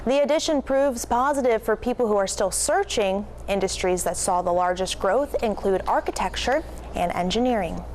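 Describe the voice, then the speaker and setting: A woman's voice: medium pitch, moderate pace, a little mellow. A woman anchoring a television newscast, reading scripted stories in a presenter's delivery. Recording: clearly noisy TV news aircheck.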